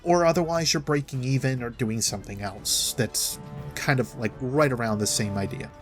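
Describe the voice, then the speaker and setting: A man's voice: medium pitch, moderate pace. Man giving rambling opinion commentary over music. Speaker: gaming commentary host. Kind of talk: opinion commentary, semi-improvised monologue. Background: background music.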